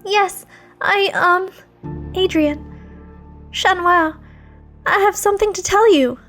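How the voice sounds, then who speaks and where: Woman voicing a squeaky, flustered teenage girl. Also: fairly bright tone, soft background music, full character voice. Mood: nervous. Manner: stammering, rushed confessions. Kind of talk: story character dialogue.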